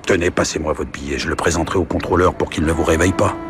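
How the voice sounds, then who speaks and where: A man's voice: very deep voice, fast talker, over music. A man playing a courteous, talkative older traveller with formal, genteel phrasing in a radio drama. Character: courteous, talkative ageing male traveller, smoothly considerate. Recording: clear train background noise.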